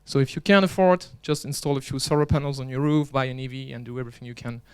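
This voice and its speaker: Clear-voiced man giving a joking conference talk. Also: medium pitch, fairly even intonation, quick pace, darkish tone. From Belgium. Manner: chatty, joking, restarts often. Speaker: conference speaker and organizer. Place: conference hall.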